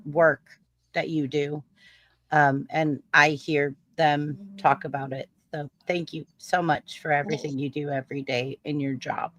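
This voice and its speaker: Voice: mid-range voice, fairly bright tone. Chat guest, earnest, rambling, middle voice. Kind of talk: casual chat.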